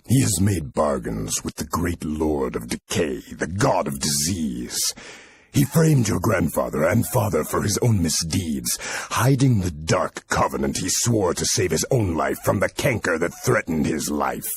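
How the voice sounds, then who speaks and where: A stern, defiant warrior priest from a fantasy video game. His voice is very low and heavily rasped, and he talks slowly and gravely, with strong swings in pitch. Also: sing-song, dry recording, heavily acted. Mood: grave, accusing. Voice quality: gravelly, husky. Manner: grave, deliberate, solemn. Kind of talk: fantasy game cutscene acting.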